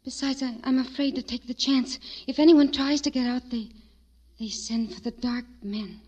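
A dull old radio drama recording of a timid young servant girl. Her voice sits high and comes haltingly, gentle and pleading. She sounds unhappy and afraid. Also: steady tone, breathy edge. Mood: hushed dread. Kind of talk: 1940s radio drama acting.

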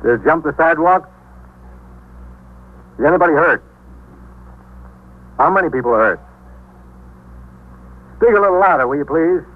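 An actor playing a businesslike desk sergeant firing insistent questions into a phone in a 1950s radio drama. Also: male voice, fairly high pitch, expressive, normal speed, long pauses. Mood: urgent, businesslike. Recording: tinny 1950s radio recording.

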